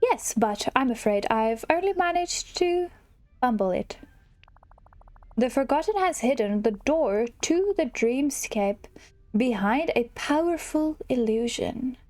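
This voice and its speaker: A woman voicing a kindly, forgetful elderly fairy godmother in a video game, gentle and hesitant, fussing as she goes. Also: high-pitched.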